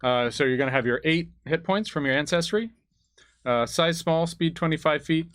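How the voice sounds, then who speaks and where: A man's voice: fairly high pitch, normal speed, a bit bright, no room sound, studio quality. A man chatting casually as co-host of a live tabletop game show. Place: indoor studio, live stream.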